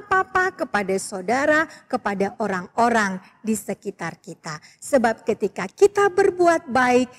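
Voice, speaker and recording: A woman's voice: a little high, long reverb tail. A woman giving a sermon to Sunday school children and addressing them directly. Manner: warm exhortation, addresses the children.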